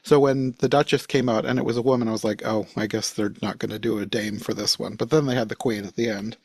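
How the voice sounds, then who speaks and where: A man's voice: on the low side, fairly even intonation, quick pace, darkish tone, husky, no room sound. A man chatting casually as a panelist on a podcast, giving his own impressions. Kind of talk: casual chat.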